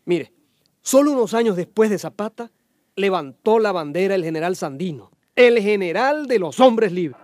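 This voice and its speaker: Man acting high-voiced folksy explainer. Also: expressive, moderate pace, darkish tone, slight background noise. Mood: earnest. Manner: conversational, didactic, folksy. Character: earnest folksy man explaining peasant revolution to a woman. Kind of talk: radio drama dialogue.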